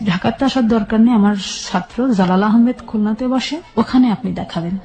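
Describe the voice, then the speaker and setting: A Bangladeshi woman telling her life story as an interview guest, recounting it in halting detail and repeating phrases as she goes. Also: fairly high pitch, warm tone.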